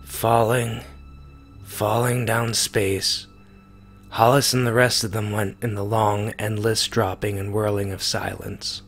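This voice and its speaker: A man giving a grave, deliberate dramatic reading of a science fiction short story, pausing for effect. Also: lowish voice, level tone, a bit bright. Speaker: amateur story reader. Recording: music behind the voice. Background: music.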